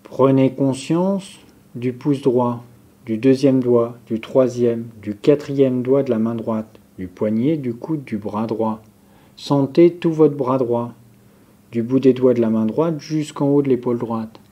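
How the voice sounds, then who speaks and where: Male meditation guide: mid-pitched, dark relaxed tone, unhurried, calm instructional delivery. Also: highs cut off. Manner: calm, measured, instructive, listing step by step. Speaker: yoga nidra instructor.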